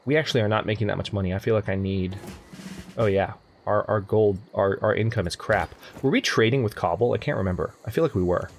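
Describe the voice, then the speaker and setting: A man's voice: fairly low pitch, fast, vocal fry, slight background noise. A young man casually commenting on a strategy game as he plays, thinking aloud and often correcting himself. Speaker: let's play host. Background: faint game music.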